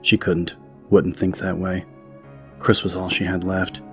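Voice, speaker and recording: A man reads dramatic audiobook narration in a low voice. His pitch hardly moves at all, and music plays behind him. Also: little pitch movement, unhurried.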